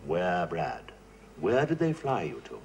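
A suave, cold spy in a 1970s TV thriller. He is smooth and clipped, and his pitch rises and falls widely. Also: wildly swooping pitch, measured pace, darkish tone, slight background noise, full character voice. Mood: probing. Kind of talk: 1970s TV drama acting.

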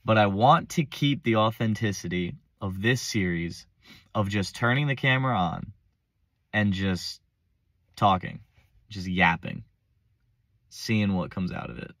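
A young man with a hoarse voice from a cold, rambling casually and unscripted, recorded on a phone camera in his parked car. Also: lowish voice, lively intonation, a little slow, long pauses, fairly bright tone, dry recording, clean studio sound. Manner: rambling, self-interrupting, casual slang. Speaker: vlogger. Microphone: phone front-facing selfie camera.

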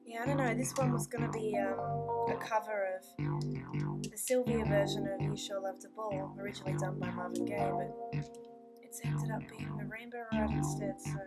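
A woman's voice: medium pitch, steady tone, normal speed, over loud music. A young woman on a webcam in a small dim room, chatting dreamily and playfully about her new song, her voice a little scratchy. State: slightly scratchy voice. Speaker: singer-songwriter vlogger. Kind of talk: casual webcam chat and singing a song demo. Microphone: webcam at close range.

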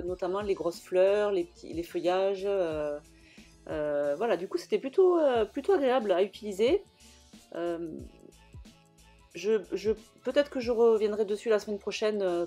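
Mellow-voiced woman, halting voice-over. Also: medium pitch. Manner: hesitant, many fillers and restarts. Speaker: scrapbooking vlogger. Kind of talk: casual voice-over narration.

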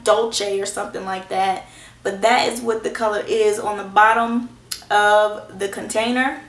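Hoarse woman chatting in an echoey room. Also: medium pitch, a bit bright. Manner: chatty and reassuring, self-teasing. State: hoarse from a cold. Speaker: beauty vlogger. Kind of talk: casual product review. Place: small indoor room at home. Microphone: camera in front at close range.

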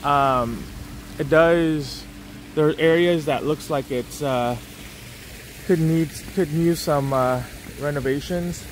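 A man's voice: a little high, takes it slowly, a little hiss or hum, poor audio. A young man walking through a beach resort, talking casually into a phone on a gimbal, rambling with many fillers and restarts. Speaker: travel vlogger. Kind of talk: casual walking tour commentary.

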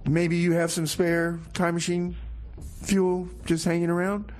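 A man hosting a community radio show with casual comedic patter, speaking in a halting, folksy, mock-earnest way. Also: sits high, monotone.

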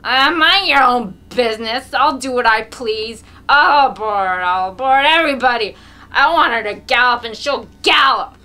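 A woman's voice: fairly high pitch, moderate pace, voice pushed up. Woman acting a bellowing drunk peasant brute. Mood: furious, defiant. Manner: shouting, coarse, bullying. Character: drunk, brutal peasant man bellowing, gleeful and furious.

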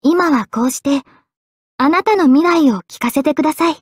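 High, poised young sword-guardian maiden played by a woman. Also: dry recording, studio quality, close to an everyday voice. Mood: soft, playful. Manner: poised, polite, measured delivery. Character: young female holy-sword guardian spirit, regal, gentle, devoted. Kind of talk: Japanese anime game voice acting. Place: studio. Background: faint background music.

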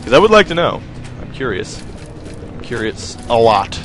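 A man's voice: medium pitch, sing-song, normal speed, a bit bright, slight background noise. A young man giving casual, rambling commentary over a video game, joking and talking to his viewers. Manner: rambling, joking, self-mocking, talks to viewers. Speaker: gaming video host. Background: faint game music.

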